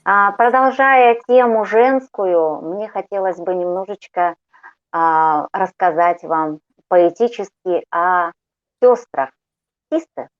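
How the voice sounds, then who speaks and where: A woman's voice: on the low side, slow pace, dull audio. A woman from Russia reciting her poem in a lilting, folk-style manner over a call. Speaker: poet. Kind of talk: slow poetry recitation.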